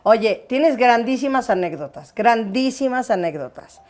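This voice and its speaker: A woman's voice: on the high side, animated, a little slow, darkish tone. Give me a woman hosting a friendly interview. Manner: familiar, affectionate prompting. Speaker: interviewer, channel host.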